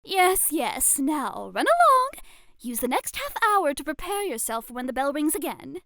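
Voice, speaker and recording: A woman playing a playful, excitable lady tutor of flirtation in a scripted ASMR roleplay, speaking in a refined, gushing, theatrical manner. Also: sits high, normal speed, no room sound, clean studio sound, exaggerated voice. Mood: playful, brisk.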